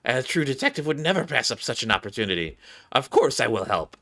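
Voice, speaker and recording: Pompous young woman sleuth for a game read by a man, accusing, theatrical, forceful and bright. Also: medium pitch, sing-song, quick pace. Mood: determined. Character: overzealous, suspicious young female detective.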